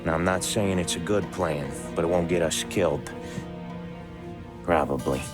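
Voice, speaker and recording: Very deep, deadpan American mobster played by a man. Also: close to an everyday voice. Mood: calm, scheming. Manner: measured, deadpan, matter-of-fact. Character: cool, pragmatic, wry veteran mobster man. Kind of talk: video game cutscene acting. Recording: loud music behind the voice.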